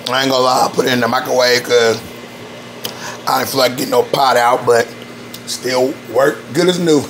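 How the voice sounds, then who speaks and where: A man in his thirties, a little hoarse, rambling loosely and slangily in a home-cooking vlog recorded on a handheld phone in his kitchen. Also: mid-range voice, fairly even intonation, moderate pace, a bit bright, a little hiss or hum, low-quality recording. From Evansville, Indiana, US. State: a bit hoarse. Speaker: cooking vlogger. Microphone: phone held at arm's length.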